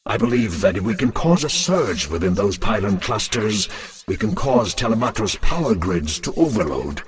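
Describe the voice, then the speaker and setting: A man playing a dutiful lieutenant to an alien queen in a video game, formal, measured and deferential. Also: lowish voice, big pitch swings. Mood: calculating.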